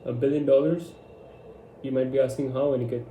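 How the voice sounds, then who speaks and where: Brash twenty-something guy talking straight to camera, really dark mellow tone, roomy echo. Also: medium pitch, steady tone, fast talker. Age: 20s. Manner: blunt, confident, brash. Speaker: vlogger. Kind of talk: casual talking-head monologue. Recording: echoey room with faint hum.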